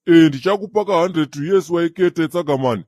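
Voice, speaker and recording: A man's voice: fairly high pitch, normal speed, a little mellow, no room sound, clean studio sound, lightly acted. A man voicing a swaggering, slangy big-boss customer with a Zimbabwean accent in a comedy cartoon. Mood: showing off. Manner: slangy, tags questions onto orders. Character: swaggering male boss customer ordering food.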